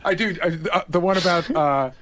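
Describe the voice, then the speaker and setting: Higher-pitched man, assertive studio talker. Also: a little fast, a bit bright, a little hiss or hum. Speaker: radio show regular. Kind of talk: casual studio discussion.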